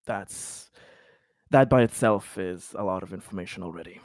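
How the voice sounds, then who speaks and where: A man's voice: low voice, no room sound, studio quality, natural voice. Man playing sardonic, paranoid injured colonist. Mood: guarded, halting. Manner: halting, hesitant, sardonic. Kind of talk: in-character tabletop roleplay.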